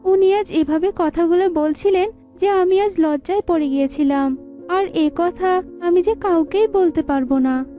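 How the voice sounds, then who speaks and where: A woman's voice: very high voice, a little slow, mellow, soft background music. A woman voicing a timid, distressed young daughter-in-law in a cartoon story. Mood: shocked, ashamed. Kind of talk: dramatised cartoon story dialogue.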